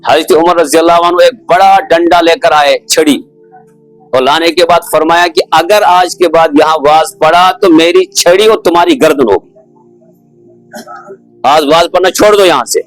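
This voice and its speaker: Higher-pitched male preacher, dry sound. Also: no room sound, low-quality recording. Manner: didactic, repeats phrases and asks rhetorical questions. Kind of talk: sermon, explaining religious rulings with stories.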